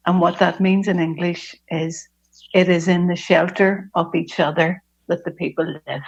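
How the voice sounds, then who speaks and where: A woman's voice: lowish voice, steady tone, moderate pace, breathy edge, dull audio. A middle-aged woman from Northern Ireland with a Belfast accent, sharing her personal testimony at a recovery fellowship meeting in an earnest, reflective way with frequent hesitations.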